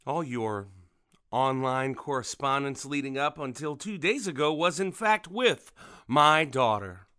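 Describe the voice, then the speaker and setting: A man's voice: mid-range voice, animated, bright, forceful tone, smooth. An actor playing a folksy father in an audio drama, who acts like a jovial barfly to confront a predator and can turn menacing. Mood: grim, controlled. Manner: folksy, drawling, mock-friendly, then slow and threatening.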